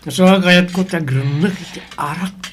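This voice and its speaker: A man plays a stubborn, troubled husband in a Filipino radio drama. His voice sits a little high for a man, and he speaks with a Filipino accent. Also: a little fast, natural voice. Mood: weary, insistent. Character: troubled, stubborn young husband, fallen out of love. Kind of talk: Ilocano radio drama acting.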